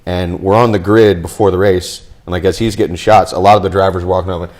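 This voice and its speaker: A man's voice: low-pitched, fairly even intonation, fast, a little mellow. A man hosting a podcast, telling stories in a jokey, casual way with some swearing. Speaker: podcast host. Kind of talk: casual chat and banter.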